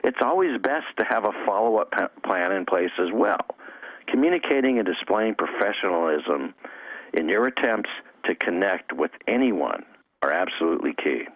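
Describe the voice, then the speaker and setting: Dull-sounding recording, low-voiced lively male coach. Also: normal speed, no room sound. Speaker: network marketing coach and trainer. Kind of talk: instructional interview answers. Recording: dull, dry lo-fi audio.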